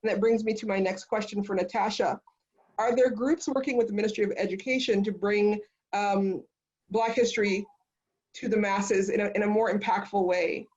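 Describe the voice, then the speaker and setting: A woman's voice: mid-range voice, fairly even intonation, normal speed, a little mellow. A woman moderating a panel discussion in a conversational, affirming way, passing questions along to the speakers. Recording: dull, lo-fi audio.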